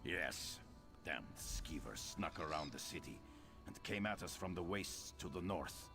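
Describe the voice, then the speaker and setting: An actor playing a gruff, weary fantasy-game sergeant, blunt and plain-spoken, with a deep gravelly voice. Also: male voice, a little slow, sharp tone, soft background music, full character voice. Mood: gruff, approving. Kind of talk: video game character acting.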